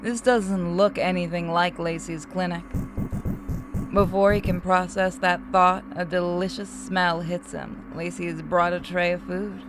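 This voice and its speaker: A woman's voice: on the low side, little pitch movement, a little slow, music in the background, low-quality recording. A woman reading a visual novel game aloud as narrator, slipping into casual banter.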